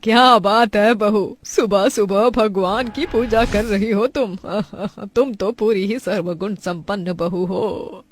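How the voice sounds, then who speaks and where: A woman voicing a fussy middle-aged village mother-in-law in a family cartoon, with drawn-out exclamations and nagging questions. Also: medium pitch, steady tone. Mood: approving. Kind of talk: Hindi family cartoon voice acting.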